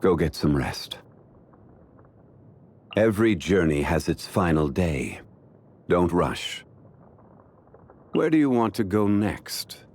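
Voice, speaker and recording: A man playing a calm, dignified, ancient gentleman in a video game, speaking in a formal, measured, deliberate manner. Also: deep voice, full character voice. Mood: courteous, composed. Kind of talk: scripted video game character voice lines.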